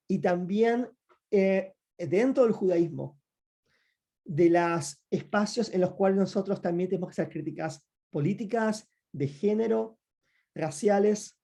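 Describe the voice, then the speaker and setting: A man's voice: high-pitched, warm tone. A man giving an academic talk over a video call, speaking thoughtfully with repeated phrases and many hesitations. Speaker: guest lecturer. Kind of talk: academic talk with audience questions.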